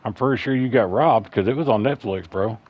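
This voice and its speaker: Bassy man chatting on a lo-fi stream. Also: fairly quick, dry recording. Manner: rambling, chatty storyteller, calls people bro. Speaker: livestream host. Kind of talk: casual chat.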